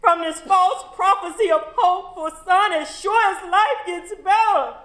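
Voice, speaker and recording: A woman's voice: very high-pitched, a little slow, a bit bright. An actress playing a defensive mother who sternly lectures her son, in a roomy echo. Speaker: actor in a stage play.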